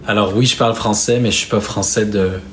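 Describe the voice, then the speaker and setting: A young Québécois man talking on a live stream in a low, flat voice. He speaks softly and hesitantly, and a faint room hum sits behind him. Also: a little fast. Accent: Québécois French. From Quebec, Canada. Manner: soft-spoken, hesitant, with many fillers and restarts. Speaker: singer streaming live to fans. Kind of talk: casual live-stream chat. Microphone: phone or webcam on a live stream.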